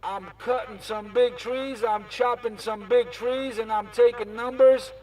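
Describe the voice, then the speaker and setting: Casting: high-voiced male CB operator, showy and repetitive banter, sounding distant from the mic. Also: fairly even intonation, a little slow, a little mellow. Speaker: CB radio operator. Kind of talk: CB radio banter in repeated chanted catchphrases. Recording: CB radio chatter, off the mic.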